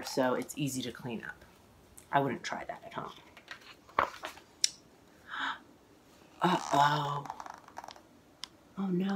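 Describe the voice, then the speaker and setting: A woman in her forties tells a picture-book story to young children at home. Her pitch swoops widely and her pace is unhurried. She exclaims and stretches key words with expressive delight in the telling. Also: a little hiss or hum. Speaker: children's author reading own picture book aloud. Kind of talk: animated children's read-aloud storytelling. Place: living room at home, indoors.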